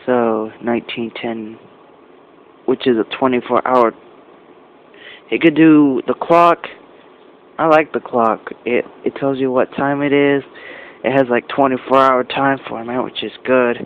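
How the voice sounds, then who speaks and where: A man giving a casual, amateur product review, talking in halting short phrases and often correcting himself. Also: medium pitch, fairly even intonation. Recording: dull lo-fi camera audio with noise.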